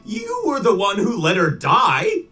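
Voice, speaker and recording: A woman voices a whiny man-child bard in a fantasy game. The voice is sharp and slow, swinging dramatically in pitch as it protests defensively. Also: wildly swooping pitch, faint music behind, full character voice. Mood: defensive whining. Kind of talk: visual novel game voice acting.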